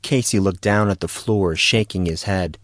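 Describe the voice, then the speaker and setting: Low-pitched man narrating fiction. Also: moderate pace, a bit bright, no room sound, studio quality. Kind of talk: scripted fiction narration for an audio drama.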